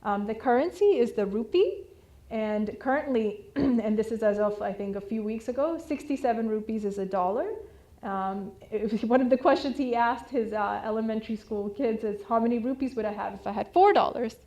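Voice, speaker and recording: A woman's voice: a little high, normal speed, mellow. A woman in her thirties from India, with Hindi as her first language, giving an informal slideshow talk at a community center, chatty and full of fillers and restarts. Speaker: community presenter.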